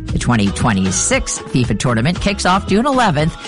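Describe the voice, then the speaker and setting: News correspondent, female, low voice, upbeat, music playing under her. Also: huge pitch swings, brisk. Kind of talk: news report.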